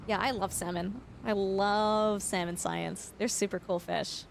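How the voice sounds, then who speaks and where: A young woman streaming a farming game, chatting casually and explaining facts with lots of fillers, her voice slightly hoarse. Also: medium pitch, steady tone, a little slow, slight background noise. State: voice going hoarse. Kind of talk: casual live-stream chat.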